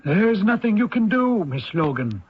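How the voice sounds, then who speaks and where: A man's voice: high-pitched, sing-song, moderate pace, breathy edge, exaggerated voice. An actor playing a polite, resigned young male lawyer, formal and sympathetic, in an old-time radio drama. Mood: sympathetic, firm. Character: polite, resigned young male attorney delivering bad news. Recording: dull, muffled radio drama recording.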